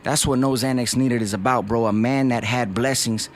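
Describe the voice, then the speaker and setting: A man from West Covina speaks openly as a rapper guest, his voice sitting at an ordinary middle pitch. He is earnest and reflective and keeps tossing "bro" and "dog" into his sentences. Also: fairly even intonation, moderate pace. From West Covina, California. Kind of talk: candid interview talk.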